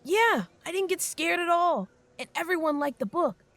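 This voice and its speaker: A woman's voice: very high voice, animated, normal speed, fairly bright tone, full character voice. A woman playing a cheerful school-age boy in an audio drama. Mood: bright, eager.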